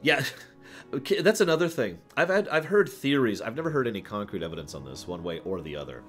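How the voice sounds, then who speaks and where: A man hosts a casual livestream, thinking out loud as he answers his viewers. His pitch moves around a lot, lively and expressive, and he readily acts out his examples. Music plays behind his voice. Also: fairly quick. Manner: animated, chuckles through his points, acts out examples. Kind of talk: casual off-the-cuff livestream commentary.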